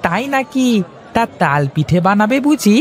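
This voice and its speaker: A man plays a village fruit seller in a cartoon tale. He is chatty and ingratiating. His voice is high for a man and rises and falls in a lively way. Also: moderate pace, some chatter behind. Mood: welcoming, persuasive. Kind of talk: cartoon fairy-tale dialogue.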